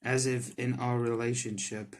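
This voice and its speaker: A man doing let's play commentary on a visual novel, reading the text aloud and reacting to it excitably. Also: medium pitch, normal speed. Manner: excitable, reacts in sudden loud outbursts. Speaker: streamer playing a visual novel. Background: soft game music.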